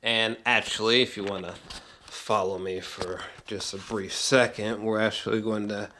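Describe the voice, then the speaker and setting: A man giving a casual, unscripted vlog review as an excitable, sweary movie fan who rambles, repeats himself and trails off mid-thought. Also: on the low side, normal speed, a little hiss or hum. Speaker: amateur movie reviewer vlogger.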